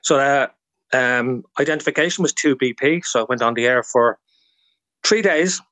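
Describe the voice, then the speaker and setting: A man's voice: mid-range voice, normal speed, fairly bright tone, dry recording. Irish man explaining history, dry close sound. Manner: informative, storytelling. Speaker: guest expert, radio historian. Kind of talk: informal explanatory interview talk.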